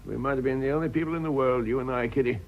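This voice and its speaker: An actor playing a blustering but fond old aristocrat in a British radio drama, gruff and clipped, with an upper-class British accent. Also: male voice, medium pitch, racing, muffled recording, natural voice. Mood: fond, nostalgic.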